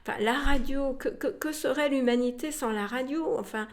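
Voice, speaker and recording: A woman's voice: high-pitched, moderate pace. A woman speaking spontaneously as an interviewed museum representative, often repeating words and trailing into asides.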